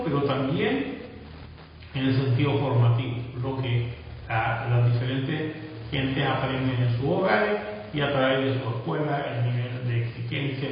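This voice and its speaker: A man from Latin America lectures at a steady, measured pace, checking in with short tag questions as he goes. He sounds set back from the microphone in a big reverberant hall, and the recording is dull and muffled. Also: medium pitch, a little hiss or hum. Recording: echoey distant room recording, dull.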